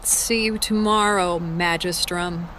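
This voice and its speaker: A woman's voice: fairly low pitch, steady tone, slow pace, fairly bright tone, a little hiss or hum. A woman playing a bold, playful, loyal young woman in an audio drama. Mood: playful, teasing. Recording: music and crowd behind.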